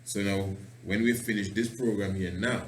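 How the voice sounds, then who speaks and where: Passionate preacher-host in a roomy echo. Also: male voice, fairly low pitch, steady tone, normal speed, a little hiss or hum. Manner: passionate, rambling, fond of wordplay. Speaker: radio show host and priest. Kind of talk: radio talk monologue with preaching.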